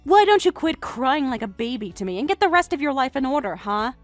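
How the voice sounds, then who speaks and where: A woman's voice: high-pitched, sing-song, a little fast, soft background music. A woman voicing a mocking, self-assured jilted young woman in a melodramatic story, sarcastic and condescending. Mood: taunting. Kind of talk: melodramatic narrated story dialogue.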